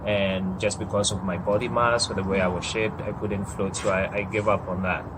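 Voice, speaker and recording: A man's voice: sits low, level tone, moderate pace. A man in his forties from Ghana, telling his personal story in an interview in a reflective, hesitant way with frequent ums. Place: outdoors. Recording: outdoor noise with passing airplanes.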